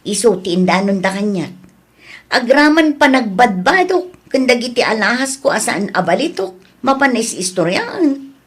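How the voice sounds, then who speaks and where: A woman with an Ilocano accent acting a frank, scolding woman in a family audio drama, in a husky voice. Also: a little high, big pitch swings, moderate pace, a bit bright, heavily acted. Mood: troubled, firm.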